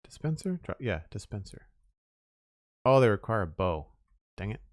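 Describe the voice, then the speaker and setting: A man's voice: on the low side, big pitch swings, normal speed, no room sound, studio quality. A young man from Nova Scotia with a Canadian Maritime accent, chatting casually to his audience while playing, rambling and counting aloud. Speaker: streamer. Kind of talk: casual gameplay livestream chat.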